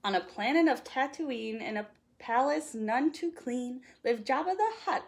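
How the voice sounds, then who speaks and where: Storytime reader, female, higher-pitched, very lively and expressive, with a playful lilt for a young audience. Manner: expressive, playful, sing-song delivery. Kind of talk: animated read-aloud of a rhyming children's picture book.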